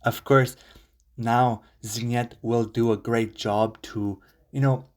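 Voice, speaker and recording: A man's voice: medium pitch, steady tone, slow. A man talking casually as a football fan vlogger, enthusiastic, rambling with many fillers and restarts.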